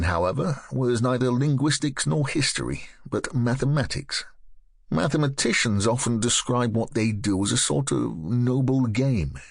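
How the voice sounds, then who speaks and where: Animated, articulate male audiobook narrator. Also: lowish voice, no room sound, studio quality. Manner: measured, articulate reading with lively intonation.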